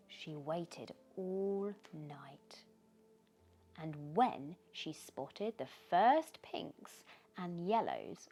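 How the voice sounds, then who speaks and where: A British woman reading a children's bedtime story aloud in an expressive, dramatic way, often dropping into hushed tones. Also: fairly low pitch. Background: background music.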